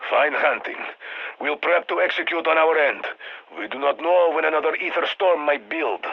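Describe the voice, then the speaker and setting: A stern Russian squad commander for a game, husky and gravelly. He gives clipped orders with dry wit over a dull radio channel, his voice pitched slightly high for a man. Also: normal speed. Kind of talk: scripted game voice lines, mission briefing.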